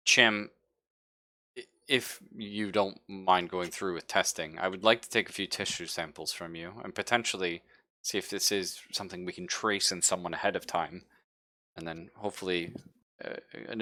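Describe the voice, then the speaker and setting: Man playing an earnest, low-voiced ship doctor. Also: steady tone, normal speed, pushed and bright, no room sound, studio quality. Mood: earnest, clinical. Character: earnest, courteous science-fiction ship doctor.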